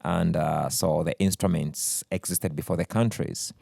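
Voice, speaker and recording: Deep gravelly Ugandan man, unhurried. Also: fairly even intonation, mellow, dry recording, clean studio sound. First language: Luganda. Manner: thoughtful, unhurried, with frequent fillers. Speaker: musician guest. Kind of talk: radio studio interview. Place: radio studio.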